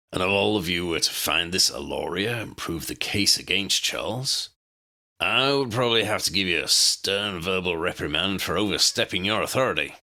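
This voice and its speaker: A man as a gruff, gravelly commandant. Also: low-pitched, sharp tone, heavily acted. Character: gruff but fair male commandant, cigar-smoking superior.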